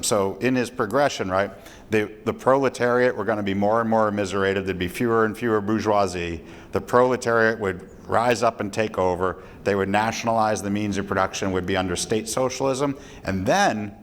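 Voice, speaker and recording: A man answering audience questions at a talk, explaining economic terms in a lecturing way. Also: on the low side. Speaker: economist speaker. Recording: reverberant lecture hall.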